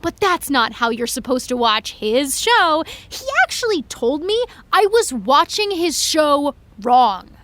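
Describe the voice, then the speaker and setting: A woman's voice: high-pitched, very animated, measured pace, hardly any pauses, fairly bright tone, dry recording, heavily acted. An actress playing an upset, indignant young female fan in an audio drama, emphatic and sarcastic with her friend. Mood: indignant rant. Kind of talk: naturalistic audio drama acting.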